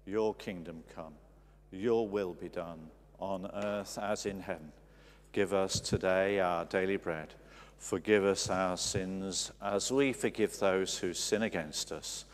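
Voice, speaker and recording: A man leading a church service, reading the Lord's Prayer and giving out the next hymn in a slow, deliberate way. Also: low voice. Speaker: service leader. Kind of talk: leading liturgy. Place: large church, indoors. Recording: long church reverb.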